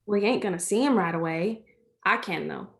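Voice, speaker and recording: Gentle American farm girl, played by a woman, folksy and comforting, for a stage drama reading. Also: mid-range voice, a little fast, close to an everyday voice. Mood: playful, eager. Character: gentle, chatty rural girl, playful and comforting.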